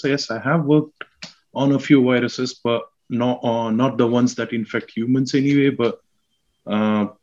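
Man, South Indian accent, explaining science, halting with fillers, dull lo-fi sound. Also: mid-range voice. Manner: hesitant, many fillers and restarts. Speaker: guest scientist. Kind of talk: explaining science in a casual chat.

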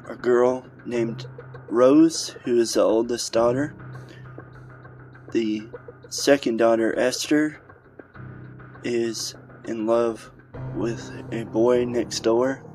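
A man's voice: medium pitch, fairly even intonation, very slow, long pauses. A man speaking as a podcast host, giving a casual introduction to a show. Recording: loud music behind the voice. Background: music.

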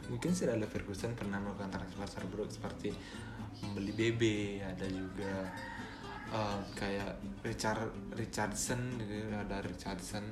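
Young Balinese man chatting over guitar. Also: lowish voice. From Bali. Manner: chatty, rambling, with many fillers and self-corrections. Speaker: podcast host. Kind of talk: casual solo podcast talk. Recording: echoey room with guitar music behind.

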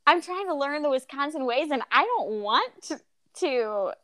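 A woman's voice: high-pitched, normal speed. A woman co-hosting a casual podcast chat, from the Philippines, talking freely and laughing often. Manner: chatty, laughs often, lively storytelling. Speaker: podcast co-host.

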